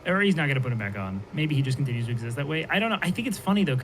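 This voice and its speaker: A man's voice: mid-range voice, big pitch swings, racing, fairly bright tone. Man, podcast host, earnest, encouraging, filler-heavy, noisy call audio. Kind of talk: casual chat.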